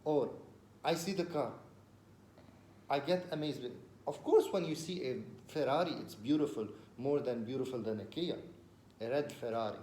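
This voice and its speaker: A man from the Levant talks through ideas in a relaxed, explanatory way, often pausing and repeating himself. His voice sits in a roomy space with faint ambient noise behind it. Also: medium pitch. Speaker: discussion partner explaining a recorded talk. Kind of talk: casual explanatory conversation.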